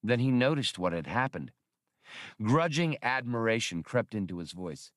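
A man's voice: medium pitch, sing-song, normal speed, no echo, studio quality. A man reading an adventure novel as a dramatic scripted audiobook narration.

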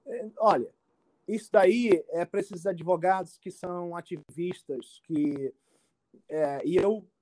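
Male expert guest, Brazilian accent, informal explanatory answers, halting delivery with frequent check-ins, dry sound. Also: high-pitched, a little mellow, no room sound, poor audio. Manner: halting, repetitive, checks understanding often. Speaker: immigration expert being interviewed.

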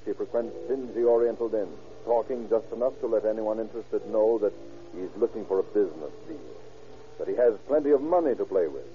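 A man's voice: lowish voice, phone-quality sound, close to an everyday voice. An American man narrating a crime serial in a scripted, suspenseful old-time radio style. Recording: thin vintage radio broadcast. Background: music.

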